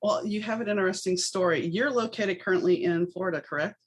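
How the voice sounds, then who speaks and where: A woman's voice: mid-range voice, fast talker, breathy edge. Chatty woman hosting a podcast. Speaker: podcast host. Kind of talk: conversational podcast interview.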